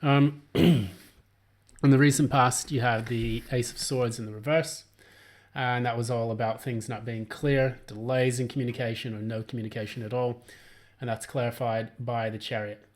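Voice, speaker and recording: Guy reading tarot cards, kinda hoarse, rambles with lots of ums, middle-pitched voice. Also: fairly even intonation, moderate pace. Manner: rambling, many filler words and restarts. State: hoarse, voice still recovering. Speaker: tarot reader. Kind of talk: casual solo tarot reading.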